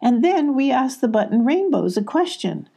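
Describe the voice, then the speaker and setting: A woman's voice: sits high, very animated, normal speed, vocal fry, warm tone, pitched above the performer's own voice, heavily acted. A woman voicing an earnest, caring young girl in a children's storybook. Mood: explaining.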